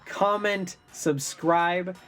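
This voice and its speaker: A man's voice: high voice, fairly even intonation, drawn-out words, fairly bright tone, faint music behind. A man co-hosting a movie review show, giving casual commentary in a blunt, emphatic way.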